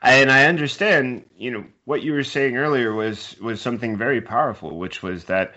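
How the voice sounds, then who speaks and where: A man's voice: mid-range voice, moderate pace, bright, forceful tone, highs cut off. A man hosting a casual remote interview, asking long, wordy questions with some hesitations. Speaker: interview host.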